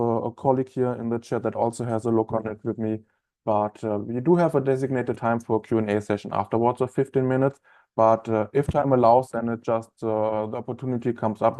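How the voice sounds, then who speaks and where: A man in his thirties with a German accent, chatting as a trainer in a live online software webinar, with many fillers and restarts. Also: medium pitch, steady tone, brisk, dry recording. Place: office room, indoors.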